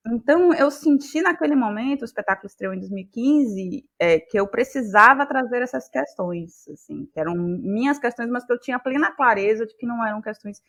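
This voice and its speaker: A woman talking as an interview guest, explaining her work in a thoughtful, expressive conversational way. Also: on the high side, a little fast, hardly any pauses. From Brazil, Bahia. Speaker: guest, playwright and actress.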